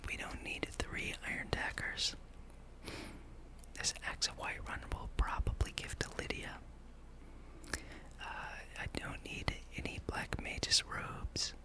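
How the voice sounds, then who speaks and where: A gaming host speaks entirely in a soft, breathy whisper. The delivery is unhurried and casual, musing through gameplay as an ASMR ramble, close to the microphone.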